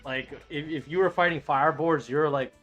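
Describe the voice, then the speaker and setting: A man's voice: on the high side, steady tone, normal speed, fairly bright tone, dull audio, faint music behind. A man in his late thirties streaming a game, chatting casually and reading viewer messages aloud in a rambling, profane way. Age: 30s. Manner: rambling, profane, restarts sentences. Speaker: streamer playing an online game.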